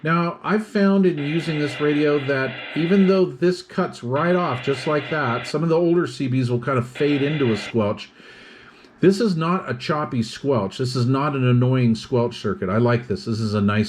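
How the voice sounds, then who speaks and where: A man's voice: medium pitch, normal speed, background noise. A man giving a casual hands-on product review, explaining things step by step.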